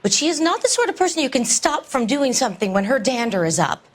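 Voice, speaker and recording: A woman playing a prim, gossipy 1940s mother and neighbor in a crime game, measured and disapproving. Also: sits high, sing-song. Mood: prim, confiding. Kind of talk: period crime game acting.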